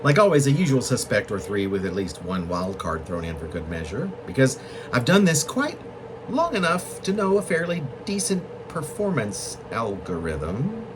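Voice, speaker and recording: A man reading his own literary poem as a reflective monologue, in halting, deliberate phrases with pauses mid-thought. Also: mid-range voice, huge pitch swings, noisy background. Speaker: poet reading own work.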